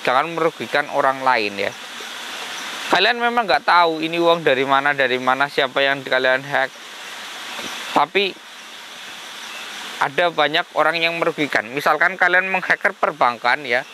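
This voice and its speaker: A man's voice: on the high side, lively intonation, normal speed, pauses often, sharp tone, a little hiss or hum, low-quality recording. A man with a slight cold talking casually to viewers as a host, often breaking into religious exclamations. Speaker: paranormal channel host.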